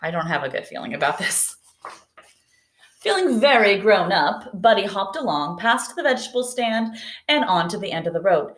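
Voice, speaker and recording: A woman's voice: mid-range voice, big pitch swings, normal speed, fairly bright tone, reverberant. A woman teacher reading a picture book aloud expressively and putting questions to young listeners.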